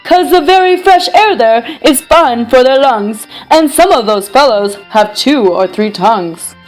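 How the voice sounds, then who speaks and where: A woman's voice: high-pitched, lively intonation, a little slow, soft background music, pitched below the performer's own voice, low-quality recording. A woman voicing an eager, boastful young boy from a storybook who talks in grandiose, singsong showman's patter. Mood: excited.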